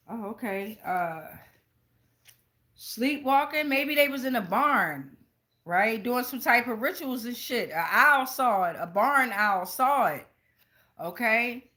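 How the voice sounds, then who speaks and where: A woman's voice: medium pitch, unhurried, pushed and bright. An American woman giving a casual live tarot reading in a slangy, profane, rambling way. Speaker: tarot reader, channel host.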